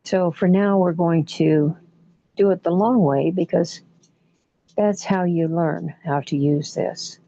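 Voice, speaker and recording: Slow, low-voiced woman guiding relaxation. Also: steady tone, mellow. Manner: soothing, unhurried, with long pauses between short phrases. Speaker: guided imagery facilitator. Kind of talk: guided relaxation meditation. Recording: muffled, dull lo-fi audio.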